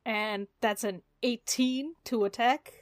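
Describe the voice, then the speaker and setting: A woman's voice: a little high, slow, no echo, clean studio sound. A woman playing a tabletop roleplaying game, casually describing what her character does.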